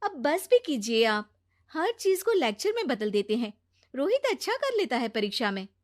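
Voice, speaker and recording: A narrator acts out a caring young wife, a woman who coaxes and gently chides. Her cartoon-story voice is fairly high and closely recorded without echo. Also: sing-song, moderate pace, clean studio sound. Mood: chiding. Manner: coaxing, gently chiding. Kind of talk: children's moral story character acting.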